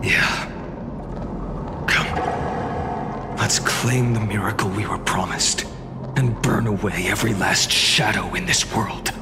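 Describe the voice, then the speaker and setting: A male actor playing a resolute, weary but hopeful young hero in a video game, with a grave, measured and earnest delivery. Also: fairly low pitch, fairly even intonation. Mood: grave determination. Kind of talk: dramatic video game voice acting. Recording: music behind the voice.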